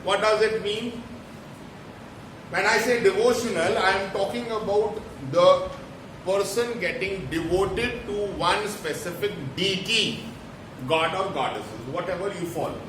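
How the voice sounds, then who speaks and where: A man's voice: sits high, unhurried. A man with an Indian English accent lecturing a class, explaining terms step by step and repeating points for emphasis. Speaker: teacher. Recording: echoey room, mic at a distance, noisy.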